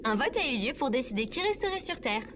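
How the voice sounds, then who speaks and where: A woman's voice: high voice, big pitch swings, quick pace, background noise. A woman playing a sarcastic female AI robot assistant in a comedy audio drama, formal, precise and dryly mocking. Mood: flatly insistent.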